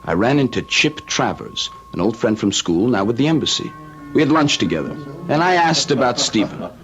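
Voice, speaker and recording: A man's voice: mid-range voice, very animated, normal speed, fairly bright tone. An actor in an old radio drama playing a loyal, concerned young man who narrates and converses earnestly. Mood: somber narrating. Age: young adult. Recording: muffled old radio recording with music.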